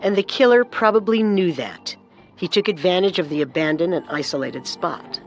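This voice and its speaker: A man's voice: sits high, lively intonation, moderate pace, faint music behind. A man co-hosting a scripted true-crime show, telling and explaining the story. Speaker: co-host narrator.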